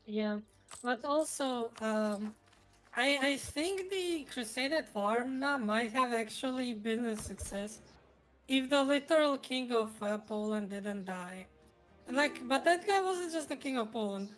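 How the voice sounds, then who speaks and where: A woman's voice: fairly high pitch, expressive, unhurried, poor audio. Woman gamer chatting over music. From Croatia. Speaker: gaming streamer. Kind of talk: casual chat while playing a strategy game.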